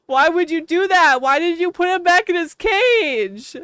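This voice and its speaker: A woman's voice: very high voice, a bit bright, no room sound, studio quality. A woman from Wisconsin with a Wisconsin accent, chatting casually while streaming a game, laughing often and saying "like" a lot. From Wisconsin, USA. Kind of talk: casual livestream chat.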